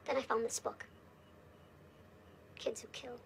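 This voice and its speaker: A woman's voice: a little high, little pitch movement, a little slow, gravelly, slight background noise. An actress playing a troubled, conscientious woman social worker in a film drama, speaking earnestly. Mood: grave, regretful.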